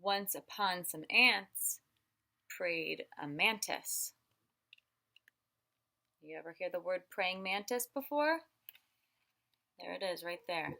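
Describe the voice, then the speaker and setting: A woman teacher reading a picture book aloud to young children, expressive and instructive, stretching words for emphasis and pausing to ask questions. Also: fairly low pitch, vocal fry.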